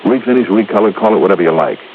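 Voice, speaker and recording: Guy playing hard-boiled american investigator, very low voice, swoopy and emphatic, slangy, muffled old radio show. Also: lively intonation, very fast, slight background noise, natural voice. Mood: confident, urgent. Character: brisk, sharp, self-reproaching male insurance investigator. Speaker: investigator and narrator. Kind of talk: 1940s-50s radio detective drama, hard-boiled narration.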